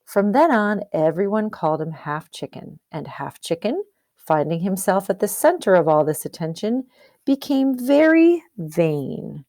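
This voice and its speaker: A woman's voice: lowish voice, sing-song, measured pace, mellow, no room sound, clean studio sound. A woman teacher reading a storybook aloud expressively, explaining and asking questions as if to young pupils. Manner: explanatory, questioning, checks understanding.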